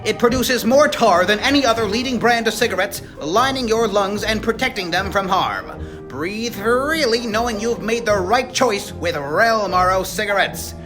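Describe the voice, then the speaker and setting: A cheerful vintage radio advertiser played by a woman with a polished, smooth sales delivery. Her mid-pitched voice rides over prominent music in a parody commercial style. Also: expressive, moderate pace, natural voice, low-quality recording. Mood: upbeat. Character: cheerful, smooth-selling old-time cigarette advertiser. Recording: loud music under voice.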